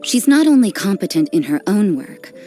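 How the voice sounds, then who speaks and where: An actress voicing an aloof, cynical young nun in a video game, speaking in a cool, dry, detached and unhurried manner. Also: female voice, mid-range voice, sing-song, dark tone. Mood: wry, knowing. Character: aloof, cynical, worldly nun who does dirty work. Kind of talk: video game character voice lines. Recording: background music under the voice.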